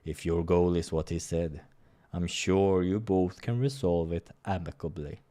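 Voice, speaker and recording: A big fallen bear acted out by a man from a visual novel, heavy with shame. He pleads gently and haltingly in a low, slow voice. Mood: humble, conciliatory.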